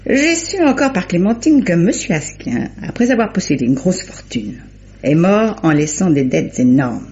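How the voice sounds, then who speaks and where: A woman's voice: lowish voice, very animated, normal speed, a little mellow. An actress playing a shrewd, self-assured lady investigator, speaking knowingly and articulately in old-fashioned radio drama style. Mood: confident, explanatory. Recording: dull, faintly noisy old radio recording.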